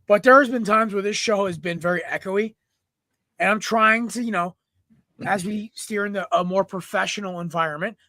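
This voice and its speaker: Bright-voiced man hosting, a bit raspy. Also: sits high, dry recording. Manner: rambling, clears throat often, swears casually. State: slightly raspy from smoke. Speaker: podcast host. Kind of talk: casual sports podcast chat. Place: room at home. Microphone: computer mic, no headset.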